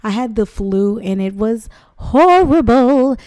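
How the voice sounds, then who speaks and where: Hoarse young woman, mellow tone, dry close mic; rambling, self-deprecating game tutorial host. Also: a little high, animated, takes it slowly, no room sound. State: hoarse from flu, voice not back. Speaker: gaming channel host. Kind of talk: casual game tutorial narration.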